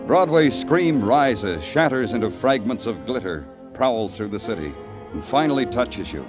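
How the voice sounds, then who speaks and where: An actor playing a world-weary police detective, narrating in a brooding, hard-boiled 1950s radio noir style. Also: male voice, medium pitch, lively intonation, unhurried, close to an everyday voice. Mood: dramatic, brooding. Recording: old radio recording with loud music.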